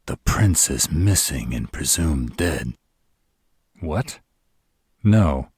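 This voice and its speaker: Man acting a very deep, husky arrogant vampire. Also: expressive, very slow, darkish tone, no room sound, studio quality, heavily acted. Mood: alarmed. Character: arrogant male vampires plotting, grave and cocky. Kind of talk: scripted audio roleplay acting.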